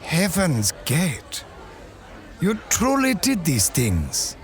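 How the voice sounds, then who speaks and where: A man's voice: a little high, huge pitch swings, extremely slow, slight background noise, exaggerated voice. A man playing a prim, skeptical companion. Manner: formal, skeptical and slightly prim. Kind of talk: audio drama acting.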